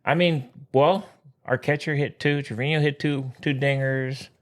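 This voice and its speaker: A man co-hosting a podcast, chatting casually about baseball in a lively, joking, teasing way. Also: medium pitch, unhurried. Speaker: podcast co-host. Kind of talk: casual sports chat.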